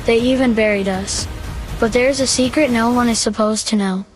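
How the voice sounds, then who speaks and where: An actress playing a secretive woman confiding a dark story in a dramatic audio trailer. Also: on the high side, fairly even intonation, moderate pace, slightly rough, soft background music. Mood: hushed, ominous.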